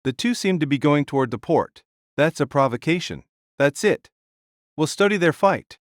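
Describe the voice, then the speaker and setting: A man's voice: a little high, animated, normal speed, no room sound, clean studio sound, close to an everyday voice. A man playing a fearless, hearty ancient warrior-king in an audio drama, speaking bluntly and grandly. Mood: eager, commanding.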